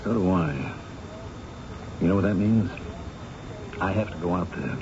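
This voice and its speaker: Inquisitive big-city newsman, lowish voice, resolute; hissy, noisy vintage radio recording. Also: wildly swooping pitch, a little slow, gravelly, lo-fi sound, full character voice. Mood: resolved. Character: determined, inquisitive male big-city newspaper reporter. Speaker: reporter. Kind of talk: old-time radio drama acting.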